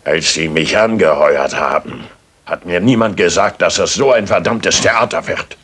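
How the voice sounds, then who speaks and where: An actor playing a surly villain, a crooked defrocked priest with a gravelly voice, in a 1980s German radio drama. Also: male voice, low voice, normal speed, a bit bright. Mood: surly, defiant. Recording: slightly noisy retro radio recording.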